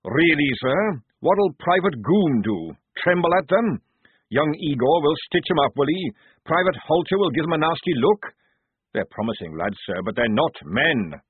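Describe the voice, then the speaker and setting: A gruff, blunt veteran sergeant, played by a man argues in rough, plain barrack talk. His voice is husky and swings widely, heard dry through a thin, phone-like sound. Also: a little high, big pitch swings, moderate pace, dry recording, pitched above the performer's own voice. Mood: exasperated. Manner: blunt barrack-room speech. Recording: tinny phone-like dry recording.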